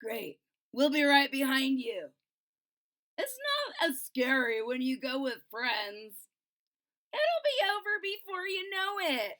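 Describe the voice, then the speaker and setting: A woman voicing a friendly group of cool beans, speaking in a hushed, half-whispered, reassuring way. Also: sits high, big pitch swings, slow pace, full character voice. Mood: encouraging.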